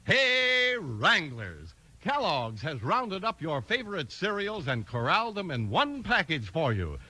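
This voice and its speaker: A man's voice: fairly high pitch, wildly swooping pitch, unhurried. A man announcing a 1950s radio show and its sponsor with polished, punchy salesmanship. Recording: old radio broadcast with music.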